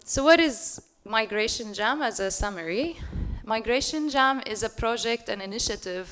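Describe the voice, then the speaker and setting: A woman's voice: medium pitch, clear, resonant tone. Earnest Syrian-born woman giving a talk. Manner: earnest, steady, with frequent ums and uhs. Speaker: panel speaker. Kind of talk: public talk presentation.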